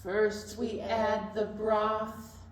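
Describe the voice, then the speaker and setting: A woman hosting a children's library storytime in a sing-song style, with simple singing and gentle chat. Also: mid-range voice, little pitch movement, drawn-out words. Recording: roomy echo, distant microphone.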